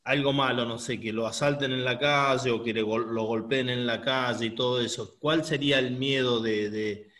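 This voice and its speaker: A man's voice: medium pitch, fairly even intonation, moderate pace, hardly any pauses, a bit bright. A man taking part in a group session, putting questions in a casual, conversational way. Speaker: seminar participant.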